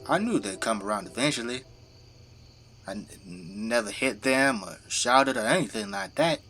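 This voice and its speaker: A man's voice: mid-range voice, big pitch swings, moderate pace, bright, forceful tone. An actor playing a middle-aged, devoted father and plain-spoken government zombie fighter in an audio drama. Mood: somber, confessional. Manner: commanding, plain-spoken, folksy turns of phrase. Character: gruff, devoted father working as a zombie-burning government janitor.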